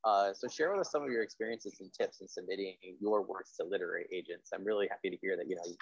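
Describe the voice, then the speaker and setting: Fast-talking, low, husky man hosting. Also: no echo, highs cut off. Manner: informal, agreeable, rambling. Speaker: podcast interviewer, host. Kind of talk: casual chat.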